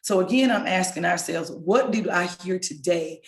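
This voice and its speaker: A hoarse woman, a local elected official, giving an impassioned, rallying speech over a video call. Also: fairly low pitch, moderate pace. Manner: impassioned, rallying, direct appeals to listeners. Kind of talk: rousing speech. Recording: echoey room sound.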